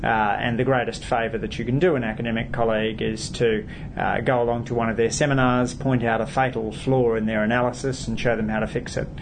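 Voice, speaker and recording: A man, a politician answering interview questions in a measured way with frequent hesitant fillers. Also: medium pitch, level tone, background noise.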